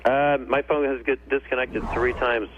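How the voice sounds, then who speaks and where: A man's voice: mid-range voice, fairly even intonation, normal speed, a bit bright. A man calling in to a radio show by phone, arguing in a dismissive, confrontational way. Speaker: radio call-in guest. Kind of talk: argumentative phone call. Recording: noisy phone call with hum.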